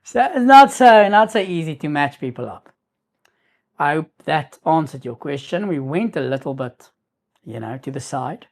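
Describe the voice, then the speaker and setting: A man's voice: fairly high pitch, expressive, normal speed, a little mellow. Man telling a rambling story. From South Africa. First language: Afrikaans. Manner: rambling, restarts, sprinkles in Hebrew terms. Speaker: YouTuber. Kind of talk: casual storytelling to camera.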